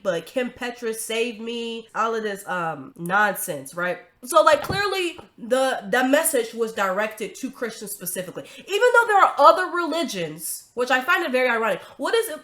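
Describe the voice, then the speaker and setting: A woman's voice: a little high, fairly bright tone. A woman hosting a commentary video, talking casually with a stuffy nose from a cold, emphatic and chatty with frequent tag questions.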